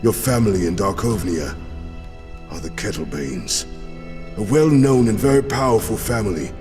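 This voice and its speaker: A solemn fantasy warrior, played by a man speaks in a lowish voice, grave and portentous. Each phrase is deliberate, set in a long hall reverb with music underneath. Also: sing-song. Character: solemn warrior clansman warning of dark magic. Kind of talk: fantasy audio drama acting.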